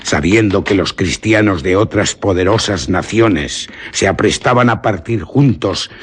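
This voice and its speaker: A man with an accent from Spain playing a rustic village guide who tells an old cursed legend in a grave, dramatic, deliberate radio drama style. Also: deep voice, slightly rough. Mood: grave, storytelling. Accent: Spanish from Spain.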